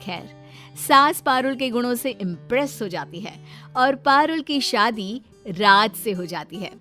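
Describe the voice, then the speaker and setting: Woman storyteller narrating a cartoon tale, music softly behind. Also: fairly high pitch, expressive, a little slow.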